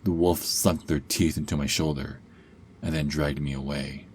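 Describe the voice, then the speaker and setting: A man's voice: extremely low pitch, fairly even intonation, moderate pace, darkish tone, a little hiss or hum. A man playing a cocky, sarcastic young adult werewolf held captive, in an ASMR roleplay with a taunting, sardonic drawl. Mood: wry storytelling.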